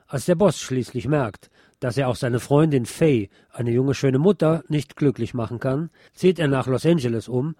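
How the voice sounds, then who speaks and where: A man's voice: medium pitch, moderate pace, warm tone, no echo, clean studio sound. A man presenting a scripted film review as narration.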